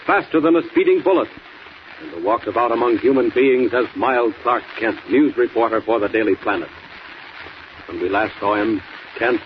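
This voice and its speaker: A man's voice: on the low side, close to an everyday voice. A man narrating a 1940s radio serial as a grand, theatrical announcer. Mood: solemn. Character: solemn, dramatic male radio serial announcer. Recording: hissy, dull old radio transcription.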